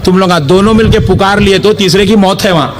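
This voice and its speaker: High-voiced young preacher telling stories. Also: male voice, fairly quick, crowd noise. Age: young adult. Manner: humorous, animated storytelling. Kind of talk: storytelling sermon. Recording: live gathering with audience noise. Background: audience reactions.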